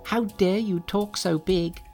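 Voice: medium pitch, fairly even intonation, takes it slowly, darkish tone, faint music behind, pitched above the performer's own voice. A storybook narrator voicing a male monkey trickster who is cocky, taunting and boastful. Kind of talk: storybook character voice.

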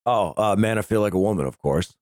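Hoarse middle-aged guy, kinda low voice, hosting a loose sweary podcast. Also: fairly quick, a little mellow, no room sound, clean studio sound. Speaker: podcast host. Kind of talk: casual banter, profane.

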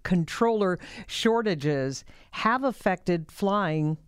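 A woman hosts a broadcast news interview. Her voice is clear and resonant, recorded close and dry, with the delivery of a radio newscaster putting questions to a guest. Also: lowish voice, lively intonation, drawn-out words, no echo.

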